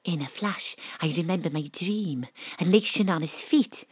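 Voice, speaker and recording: A woman's voice: fairly low pitch, moderate pace, slightly rough, no echo. A woman playing a plucky, self-important Welsh detective who narrates her case in an audio drama, with dry, arch delivery. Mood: arch, resolute.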